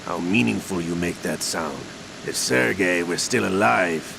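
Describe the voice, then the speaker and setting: An actor playing a sly older Russian villain in a video game cutscene, gravelly, drawling and theatrically menacing. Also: male voice, deep voice, unhurried, background noise, heavily acted. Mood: smooth, menacing. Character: sly older male Russian villain, coolly scheming gunslinger agent.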